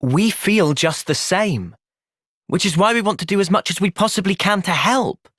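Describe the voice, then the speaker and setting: A man voicing an earnest, thoughtful young soldier in a video game, with an English accent and a measured, sincere delivery. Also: high-pitched, lively intonation, a bit bright, slightly rough, no echo, clean studio sound, heavily acted. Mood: calm, earnest. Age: young adult. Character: earnest, thoughtful young male soldier, gentle but resolute. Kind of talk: video game cutscene acting.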